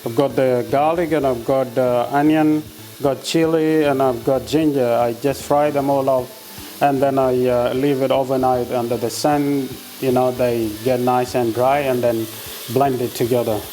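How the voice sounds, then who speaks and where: A man from South Sudan with a South Sudanese accent, demonstrating a recipe in his kitchen and explaining each step in an unhurried way, with many hesitant pauses. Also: medium pitch, fairly even intonation, warm tone, rough recording. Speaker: home cook. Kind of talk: cooking demonstration.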